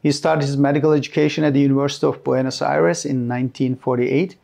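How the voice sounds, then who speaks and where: Turkish guy narrating history, english is his second language, low mellow gravelly voice. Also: little pitch movement, fast. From Turkey. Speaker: history channel host.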